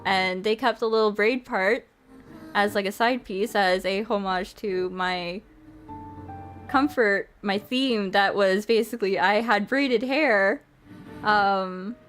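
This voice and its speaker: A woman's voice: mid-range voice, slow pace, a bit bright, soft background music. A young woman streaming, chatty and playful, moving between casual chat and reading a visual novel aloud. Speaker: streamer and host.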